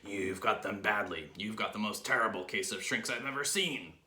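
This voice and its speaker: A man voicing a scheming, gleefully cruel old storybook husband who taunts with emphatic, drawn-out relish. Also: sits low, bright, forceful tone, roomy sound, heavily acted. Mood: taunting.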